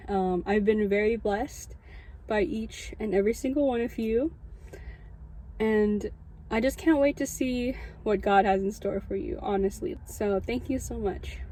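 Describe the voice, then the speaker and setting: A woman's voice: on the high side, mellow, slight background noise. A woman in her early twenties with a Californian American accent, giving a personal testimony as a student chaplain in an informal, conversational storytelling way. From Southern California, United States. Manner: informal, conversational, frequent "like" asides. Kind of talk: casual personal storytelling to an audience.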